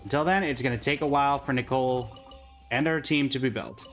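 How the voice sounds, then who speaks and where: A man's voice: mid-range voice, brisk, fairly bright tone, faint music behind. A man doing casual livestream game commentary, talking in short remarks to himself and to the characters on his screen.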